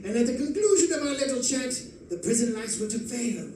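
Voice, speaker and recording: Gleeful scheming crook boss for a radio play, florid and condescending, super echoey, far from mic. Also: male voice, very high voice, very animated, a little fast, warm tone. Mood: smug, scheming. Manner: theatrical, condescending, chuckling, florid. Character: gleeful, erudite, scheming male criminal mastermind in prison. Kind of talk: old-time radio drama acting. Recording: distant, very echoey live stage recording, faint noise.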